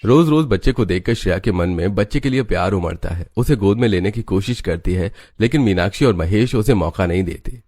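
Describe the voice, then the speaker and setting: A man's voice: lowish voice, lively intonation, brisk, few pauses, darkish tone, no echo, studio quality. A man narrating a scripted family story for a cartoon.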